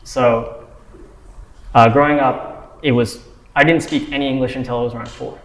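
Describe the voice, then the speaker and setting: Young guy, mid-pitched voice, thinks out loud carefully, echoey room. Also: fairly even intonation. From United States. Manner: thoughtful, measured explaining. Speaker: discussion participant. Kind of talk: casual discussion. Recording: roomy echo.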